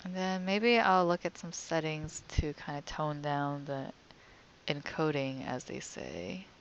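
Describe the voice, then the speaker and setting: A woman's voice: low voice, expressive, unhurried, creaky, lo-fi sound, slight background noise. A young woman giving casual let's play commentary as a game streamer, often murmuring to herself.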